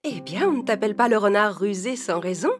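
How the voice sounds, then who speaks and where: Woman playing a soft-spoken, polite lady stork. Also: mid-range voice, animated, brisk, soft background music, natural voice. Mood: gentle, forgiving. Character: female stork, polite, hurt but patient neighbour. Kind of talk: children's animated fable.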